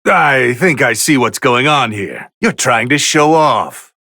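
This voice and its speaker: An actor playing a haughty, bored, easily irritated god of destruction who gives pompous, theatrical commentary in a fighting video game, with a gravelly voice. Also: male voice, medium pitch, lively intonation, slow, bright, forceful tone, no room sound, clean studio sound, full character voice. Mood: smug. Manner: pompous, theatrical, drawling disdain.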